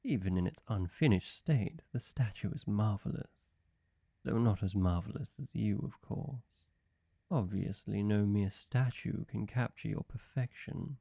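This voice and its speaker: Man as a slow, suave, fawning demon servant. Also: lowish voice, gravelly, warm tone, no echo. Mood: smitten, fawning. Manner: unhurried, silky, fawning, formal. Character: suave, flirty, obsessively devoted male demon servant. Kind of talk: scripted roleplay monologue addressed to the listener.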